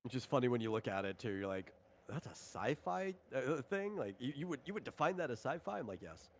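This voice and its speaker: A man's voice: medium pitch, sing-song, brisk, a little hiss or hum. A man casually explaining tabletop game rules in an informal, conversational way as he runs a session. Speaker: game designer running a tabletop RPG session.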